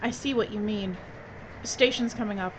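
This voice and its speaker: A woman's voice: mid-range voice, moderate pace, natural voice. A woman playing an urgent, practical adventurer in an audio drama, giving terse orders. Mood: brisk. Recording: muffled lo-fi audio, noisy background.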